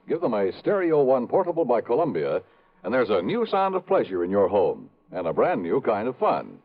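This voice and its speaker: A man announcing in 1950s radio commercial style, with polished, emphatic salesmanship. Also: medium pitch. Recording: tinny, narrow-band vintage radio recording.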